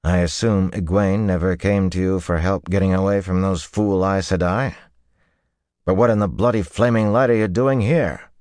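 A man's voice: low-pitched, a little fast, few pauses, no room sound, clean studio sound, close to an everyday voice. A man voicing a hot-tempered young rogue army commander in an audiobook, blunt and exasperated, cursing often. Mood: exasperated, angry.

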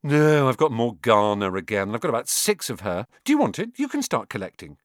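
A man voicing a blunt, chatty eleven-year-old schoolboy with a quick offhand manner. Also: mid-range voice, big pitch swings, no room sound, clean studio sound, close to an everyday voice.

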